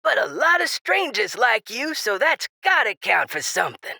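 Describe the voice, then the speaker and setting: An actor playing a callous, gleeful radio host with showy, mocking announcer patter in an audio drama. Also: male voice, very high voice, sing-song, normal speed, gravelly, bright, forceful tone, no room sound, studio quality, heavily acted.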